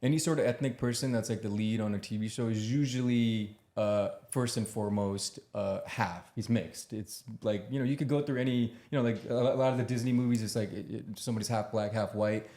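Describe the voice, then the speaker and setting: A man from California talking in a casual podcast chat, halting and trailing off. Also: fairly low pitch, warm tone. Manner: hesitant, many fillers and restarts. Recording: echoey room.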